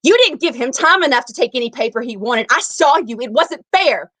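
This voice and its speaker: Storybook housewife, played by a woman, high-pitched, aggrieved and insistent. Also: expressive, fairly quick, fairly bright tone. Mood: indignant shouting. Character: indignant housewife loudly protesting unfair treatment.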